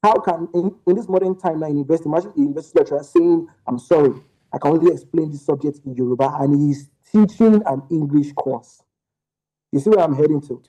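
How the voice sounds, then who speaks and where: Emphatic man, very dark voice. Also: a little high, quick pace, rough recording. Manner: emphatic, argumentative, asks rhetorical questions. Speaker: panel guest. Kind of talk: opinionated panel discussion.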